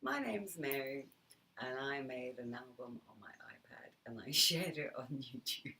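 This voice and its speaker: Low-voiced middle-aged woman, whispered asides. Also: animated, measured pace, sharp tone, distant mic, slight background noise. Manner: chatty, laughs often, drops into whispered asides. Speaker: vlogger. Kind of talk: reflective casual monologue to camera.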